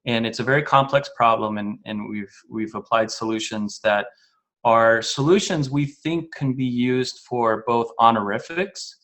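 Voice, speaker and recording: A man's voice: medium pitch, a little slow, fairly bright tone. A man answering interview questions as a guest engineering manager, explaining in a casual way with fillers and self-corrections.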